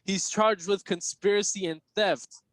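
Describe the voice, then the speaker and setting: Casting: brisk space-news anchor male actor, formal delivery, fairly high voice, dry close sound. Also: steady tone, a bit bright, no echo. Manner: formal announcing. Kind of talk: news bulletin in audio drama.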